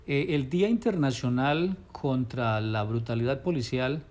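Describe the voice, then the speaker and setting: A man conducting a news interview, with a voice that sits at an ordinary middle pitch. He asks his questions in a measured way, prompting his guest to go on. Nothing about his delivery is showy. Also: darkish tone.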